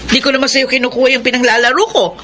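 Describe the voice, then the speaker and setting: Shrill, brazen Filipina gambling wife. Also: female voice, little pitch movement, rapid-fire, bright, forceful tone, slight background noise. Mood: defiant, irritated. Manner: snappy, defensive retorts. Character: defiant gambling wife and mother, brazen and evasive. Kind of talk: Filipino radio drama acting.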